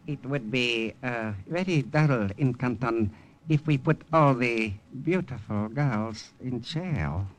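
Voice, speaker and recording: An actor playing a suave army lieutenant in a 1950s radio drama. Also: male voice, mid-range voice, takes it slowly, lightly acted. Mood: smooth.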